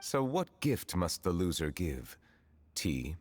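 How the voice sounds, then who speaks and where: A man's voice: deep voice, big pitch swings, slow pace, heavily acted. An actor voicing a solemn, dignified man of great authority, formal and stately, in a video game. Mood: calm, formal. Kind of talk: dramatic game voice acting. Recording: music behind the voice.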